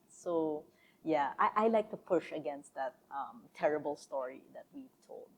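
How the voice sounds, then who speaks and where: A woman sociologist speaking as a podcast guest, thoughtful and relaxed, with frequent fillers and easy laughter. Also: deep voice, vocal fry, slightly rough, poor audio. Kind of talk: casual interview conversation.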